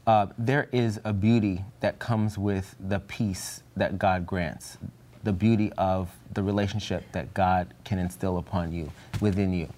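A man's voice: low voice, steady tone, a little slow, mellow, slight background noise. A man speaking reflectively and earnestly as a panelist in a Bible study discussion.

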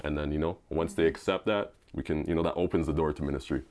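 A man from Canada, a seminary student speaking on a panel in a relaxed, thoughtful way with many fillers. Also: very deep voice, fast talker. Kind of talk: casual discussion.

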